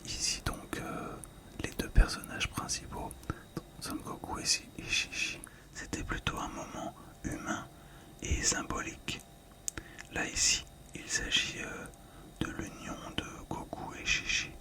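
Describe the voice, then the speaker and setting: A middle-aged ASMR host talking through a collectible in a calm, gentle, hesitant way, close to the microphone. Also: measured pace. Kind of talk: whispered ASMR commentary.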